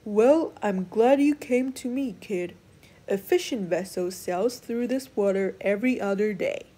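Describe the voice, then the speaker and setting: A woman's voice: mid-range voice, unhurried, dark tone, close to an everyday voice. A woman voicing a wise old male clam in a storybook, kindly and avuncular. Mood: kindly, reassuring. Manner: avuncular, addresses the young as kid.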